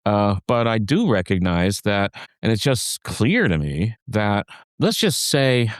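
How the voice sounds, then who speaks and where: A man with a low voice in casual podcast conversation, talking haltingly with many restarts and fillers. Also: sing-song, clean tone, no room sound, clean studio sound. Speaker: podcast co-host.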